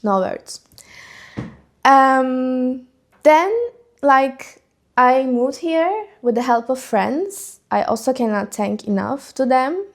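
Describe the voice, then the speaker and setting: A woman's voice: sits high, expressive, takes it slowly. A young woman with a Turkish accent, a vlogger chatting unscripted to camera at home, rambling with many ums and likes. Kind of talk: casual unscripted chat to camera.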